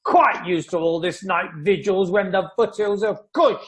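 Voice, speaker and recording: An actor playing a sardonic, blustering upper-class houseguest in an amateur radio play. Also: male voice, high voice, a little slow. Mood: blustering, indignant.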